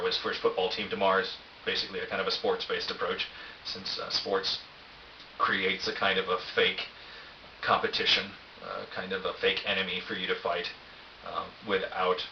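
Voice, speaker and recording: A man's voice: sits low, distant mic. A middle-aged man giving a casual, rambling vlog recap in a hesitant, wry, self-deprecating way, recorded on a cheap camera. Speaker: vlogger. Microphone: cheap camera, some distance away. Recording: roomy echo, dull cheap camera mic, hiss.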